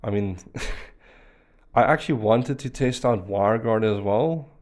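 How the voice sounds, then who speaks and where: A man whose first language is Afrikaans, chatting casually on a live stream while he works through a networking lab, with relaxed fillers and restarts. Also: on the low side, measured pace.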